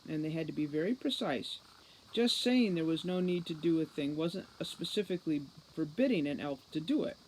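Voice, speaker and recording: A woman narrating a novel aloud as a storybook reader, in an expressive style. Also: sits low, a little hiss or hum, low-quality recording. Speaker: audiobook reader.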